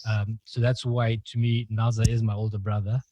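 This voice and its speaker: A man's voice: lowish voice, moderate pace, dry recording. Young malawian guy hosting a podcast, laughs a lot, super dry close mic. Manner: laughs often, relaxed and chatty with filler words. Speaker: podcast host. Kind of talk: casual interview chat.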